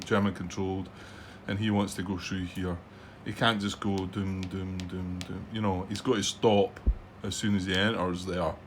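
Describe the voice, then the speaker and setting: A man's voice: low voice, a little hiss or hum. A middle-aged man with a Scottish accent hosts casual commentary on a solo board wargame from his home desk, rambling and hesitant with lots of ums and restarts. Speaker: board wargame channel host.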